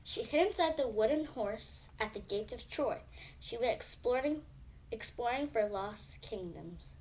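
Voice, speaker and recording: A woman's voice: on the high side, lively intonation, a little slow, darkish tone. A female reader telling a children's bedtime story expressively, with emphatic phrasing.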